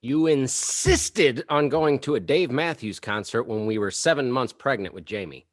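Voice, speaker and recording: A man's voice: mid-range voice, normal speed, no room sound, clean studio sound, natural voice. An actor playing a petty, self-pitying suburban father, whiny and sarcastic, in a comedy table read. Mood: aggrieved.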